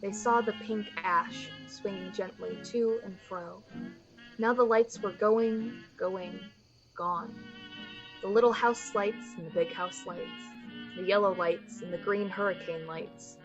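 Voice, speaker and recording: A woman's voice: mid-range voice, steady tone, slow, muffled recording, music in the background. A woman giving scripted literary narration as the storyteller of an old-fashioned radio play.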